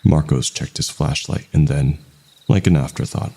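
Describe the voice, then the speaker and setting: Male horror narrator, extremely low and slow, with vocal fry. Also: a little mellow. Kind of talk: scripted first-person horror narration.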